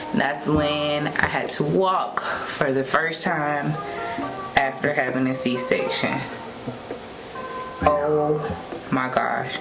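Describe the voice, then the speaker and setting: A young woman speaks in a lowish voice at a very unhurried pace. She tells her story casually, vlog style, acting out quotes along the way. Loud music plays behind her. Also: poor audio. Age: young adult. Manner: animated retelling with acted-out quotes. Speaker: vlogger, new mother.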